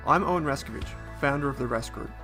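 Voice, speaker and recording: A man's voice: medium pitch, fast, over music. A man hosting an investing podcast, reading a scripted intro and then asking interview questions. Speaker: podcast host.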